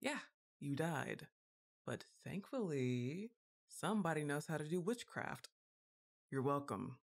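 A woman's voice: low voice, animated, takes it slowly, heavily acted. Woman acting a wry goth giantess witch girlfriend. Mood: exasperated. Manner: casual, wry, hesitant asides. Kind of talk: ASMR roleplay audio.

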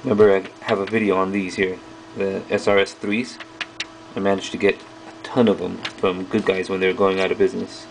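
Low, gravelly man, flat casual review. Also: a little hiss or hum, low-quality recording. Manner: halting, piecemeal delivery while handling items. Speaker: video host reviewing old electronics. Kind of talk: casual hands-on product review. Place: small indoor workroom at a bench.

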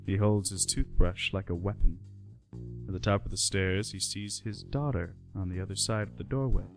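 A man with a fairly low voice narrates a radio play from a script. Music plays behind him. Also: steady tone, normal speed, gravelly.

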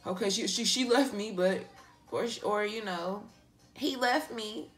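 A woman giving a casual, improvised card reading to an online audience, talking in an animated, slangy way and swearing freely. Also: mid-range voice, a little slow. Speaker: tarot card reader, online host. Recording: music playing behind the voice. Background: pop and R&B songs playing.

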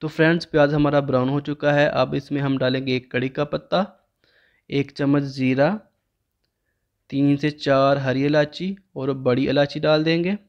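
A man's voice: a little high, moderate pace, clean tone, muffled recording. A man, narrating a home cooking recipe step by step as the channel host. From Pakistan. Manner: steady step-by-step instructional tone.

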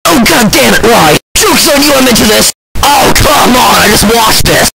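Theatrical man, high-pitched and wildly animated. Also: moderate pace, gravelly, raised voice, low-quality recording. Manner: exaggerated, theatrical, swearing outbursts. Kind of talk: comedic game voice lines in put-on character voices.

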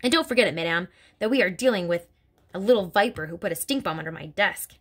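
A woman's voice: lowish voice, lively intonation, fast, fairly bright tone. A woman barking as a bullying giant headmistress. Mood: bellowing scorn. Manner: barking, snorting, contemptuous. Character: bullying hulking female headmistress, bellowing.

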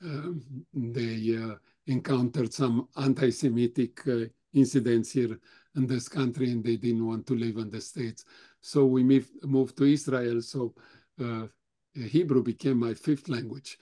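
An older man whose first language is German, slowly answering questions at a remembrance talk with many halting pauses. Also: medium pitch, fairly even intonation, dark tone. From Europe; grew up speaking German, Russian, Romanian. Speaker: Holocaust survivor guest speaker.

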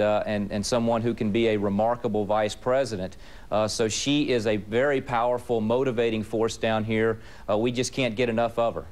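Man in his thirties, mid-pitched voice, enthusiastic, upbeat, remote studio. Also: darkish tone. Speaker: congressman, remote guest. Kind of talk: political commentary.

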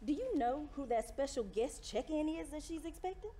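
A young woman on stage playing a playful, nosy hotel front desk worker in an animated comedic style. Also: high voice, moderate pace. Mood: curious, excited. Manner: animated, excitable. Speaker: stage actress. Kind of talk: comedic stage play acting. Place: theater stage, indoors. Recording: echoey theater stage, music behind.

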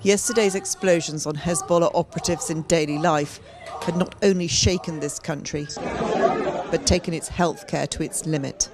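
A woman's voice: deep voice, fairly even intonation, normal speed. A woman delivering a scripted television news report as a correspondent. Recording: noisy street crowd and traffic. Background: crowd and vehicles.

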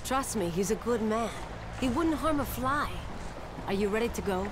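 A woman's voice: a little high, expressive, normal speed, noisy background, natural voice. A woman playing an earnest survivor in a video game, speaking in an assured, articulate, persuasive way.

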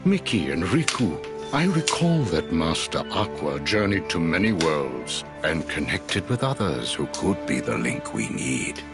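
An actor playing a grave, commanding old wizard master in a video game, speaking formally and with measured solemnity. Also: male voice, very deep voice, big pitch swings, over music, exaggerated voice. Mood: grave, instructive. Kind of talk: video game cutscene voice acting.

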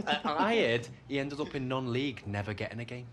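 A man's voice: medium pitch, a little fast, fairly bright tone, lightly acted. A man playing a mocking, gossipy young friend in a video game. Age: young adult. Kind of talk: video game cutscene dialogue.

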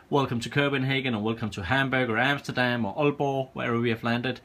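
A man's voice: medium pitch, bright, forceful tone. A man casually walking through his home cockpit setup, hesitant and searching for words, recorded indoors on a handheld camera.

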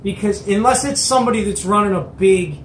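A middle-aged man telling a casual story to camera as host, in an animated, emphatic, colloquial way. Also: high voice, fairly quick. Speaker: host of a CB radio show. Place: small indoor hobby radio room. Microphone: camera or phone at arm's length. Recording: roomy echo, background noise.

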